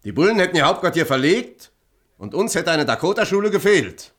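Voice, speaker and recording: A man's voice: high-pitched, sing-song, brisk, a bit bright, full character voice. An actor playing an idealistic male activist leader in a German radio play, arguing in a persuasive, declamatory way. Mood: resolute, persuasive.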